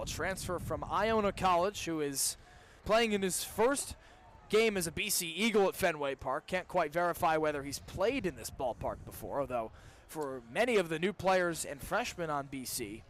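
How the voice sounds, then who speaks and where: A young man with a fairly high voice calls a baseball game live for radio. He works as the play-by-play announcer, describing the action as it unfolds. Ballpark noise is audible behind him. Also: expressive, normal speed, fairly bright tone. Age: young adult. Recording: clear background noise, live ballpark broadcast.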